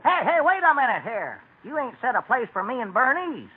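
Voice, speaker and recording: An actor playing a folksy, grumbling rustic servant with a rural Southern American accent in an old radio comedy. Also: male voice, very high voice, sing-song, fairly quick, phone-quality sound. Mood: indignant. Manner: folksy, slangy, complaining. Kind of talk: 1940s radio comedy acting. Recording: very noisy, thin old radio recording.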